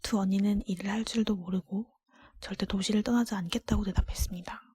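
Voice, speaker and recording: Breathy whispering woman reading a storybook. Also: mid-range voice, a little mellow, no room sound. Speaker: storybook reader. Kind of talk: whispered ASMR fairy-tale reading. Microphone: close microphone, dry.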